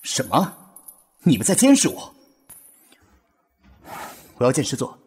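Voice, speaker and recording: An actor playing a proud, stubborn young army battalion commander in an audio drama, brusque and scoffing but fond underneath. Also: male voice, mid-range voice, huge pitch swings, a little slow, husky, exaggerated voice. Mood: indignant, defiant. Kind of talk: Chinese audio drama acting. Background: background music.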